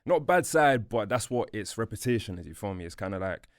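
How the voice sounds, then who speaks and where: Young male guest, Portuguese-born, Portuguese first language, low-pitched, loose slangy chat, dry studio sound. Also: lively intonation, brisk, dry recording. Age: young adult. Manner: laid-back street slang, fillers like "you feel me", "bro". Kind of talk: casual interview chat. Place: podcast studio.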